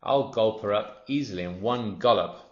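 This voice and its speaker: A man gives voice to an enormous, greedy storybook crocodile who gloats and mutters his plans under his breath. The voice sits low, and the recording has a roomy, dull lo-fi sound. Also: moderate pace, darkish tone, natural voice. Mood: gloating. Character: greedy scheming male crocodile, huge, sly.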